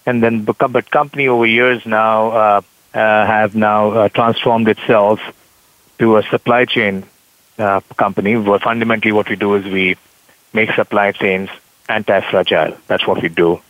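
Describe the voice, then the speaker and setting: A man's voice: on the low side, fairly even intonation, normal speed, no room sound. A man, an executive guest on a radio show, speaking earnestly with many hesitations. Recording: dull dry lo-fi line.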